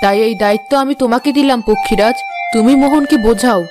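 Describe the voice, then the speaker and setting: A woman's voice: on the high side, fast talker, music in the background, lightly acted. A woman playing a queen, a concerned mother, in a cartoon fairy tale.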